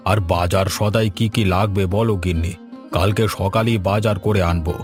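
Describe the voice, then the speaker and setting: A man's voice: low-pitched, fairly even intonation, moderate pace, soft background music, natural voice. A man voicing a patient, thrifty old village grandfather in a cartoon, with a folksy, coaxing way of talking. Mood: easygoing. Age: older. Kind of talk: cartoon voice acting.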